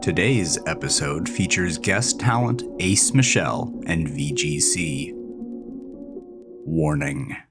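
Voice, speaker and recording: A man hosting and narrating a scripted horror story in an intense, deliberate, dramatic way. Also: extremely low pitch, animated, loud music behind the voice. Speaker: host, author and narrator.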